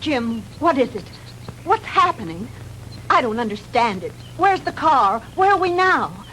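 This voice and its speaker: An actress playing a frightened, bewildered, high-strung young woman in a 1960s low-budget film. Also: sing-song, unhurried, a bit bright, full character voice. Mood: rising hysteria. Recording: noisy old 1960s film soundtrack.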